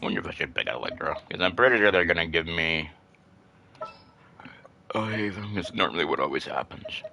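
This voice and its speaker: A man's voice: sits low, animated, a little fast, long pauses, bright, forceful tone. A man giving casual live gameplay commentary as a streamer, in an offhand, muttering way. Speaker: game streamer. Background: game music.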